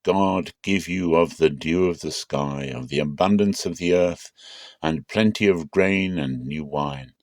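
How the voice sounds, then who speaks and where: A man playing an aged patriarch in a scripture reading, slow and weighty, a searching and solemn father. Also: extremely low pitch, no echo, studio quality. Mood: solemn blessing.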